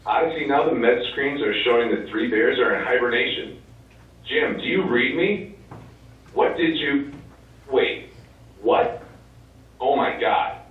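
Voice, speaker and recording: A man's voice: mid-range voice, a little slow, pauses often. A man playing a businesslike Mission Control officer speaking over the radio in a stage drama. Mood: alarmed, urgent. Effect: radio transmission. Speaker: stage actor in a one-act play. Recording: very echoey, distant, tinny phone-like radio sound.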